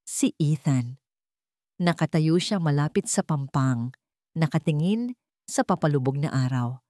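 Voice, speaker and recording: A woman's voice: lowish voice, warm tone, dry recording, clean studio sound. A woman audiobook narrator reading a dramatic first-person story in a solemn, emotive manner.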